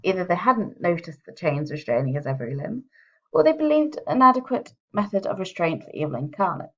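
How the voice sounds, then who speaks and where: A woman author speaks in a slightly low voice with strong vocal fry. Her delivery is wry and a touch theatrical, suited to presenting her own book. The recording is a somewhat dull, muffled home one. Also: sing-song, fairly quick. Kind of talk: chatty introduction, then expressive audiobook-style reading.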